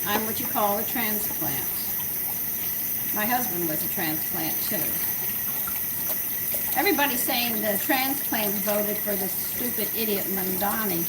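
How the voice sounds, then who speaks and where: Woman, from texas, rambling live-stream cooking chat, far-off mic, loud running water. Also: medium pitch, unhurried, pauses often, echoey room, heavy background noise. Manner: chatty, rambling, answers viewers' comments. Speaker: home cooking show host. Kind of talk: casual live-stream chat while baking.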